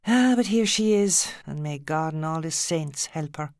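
A woman's voice: deep voice, animated, a little slow, darkish tone, no room sound, clean studio sound, pitched above the performer's own voice. A woman voicing an outspoken, protective middle-aged Irish woman in an audiobook, scolding and forthright. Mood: exasperated. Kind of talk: audiobook character acting.

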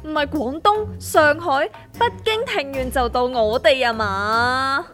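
A woman's voice: high voice, takes it slowly, a bit bright. A woman playing a plain-spoken, knowing explainer in a comedic radio drama, chatty and with a Hong Kong Cantonese accent. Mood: matter-of-fact. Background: music.

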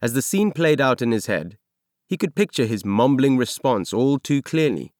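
A man reading a science fiction novel aloud as scripted narration, in measured, expressive storytelling. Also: mid-range voice, no echo, clean studio sound. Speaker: audiobook narrator.

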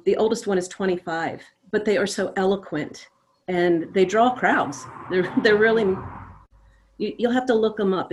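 A woman speaking casually in a group discussion, pausing as she recalls details. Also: lowish voice. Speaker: discussion participant.

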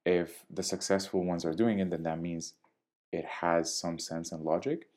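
A young man from Syria, a DJ speaking in a podcast interview with a measured, articulate and diplomatic manner. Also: sits low, little pitch movement, a little mellow. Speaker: DJ and guest.

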